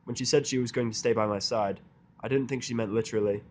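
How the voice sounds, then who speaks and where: A man's voice: medium pitch, fairly even intonation, fast talker. A man narrating a first-person story in character, with a dry, sardonic manner. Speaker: audiobook narrator.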